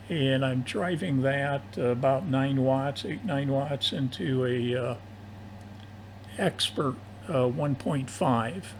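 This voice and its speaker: An older man talks slowly and haltingly over AM ham radio, with a faint hiss under his voice. His delivery is casual, like one hobby operator passing the turn to another. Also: mid-range voice. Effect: AM shortwave radio.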